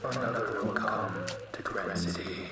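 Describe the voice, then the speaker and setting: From far off in cavernous echo, under loud music, a male undead spirit. It taunts slowly and ominously, like a prophet of doom in an old-time radio horror play. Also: low voice. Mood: taunting, ominous. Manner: slow, ominous pronouncements.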